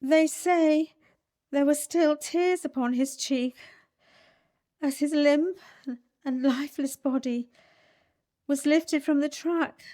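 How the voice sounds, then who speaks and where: A woman playing a stern but regretful Victorian village schoolmistress in a radio play, speaking in a measured, solemn way. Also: high-pitched, fairly even intonation, mellow. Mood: sorrowful. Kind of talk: community radio play monologue.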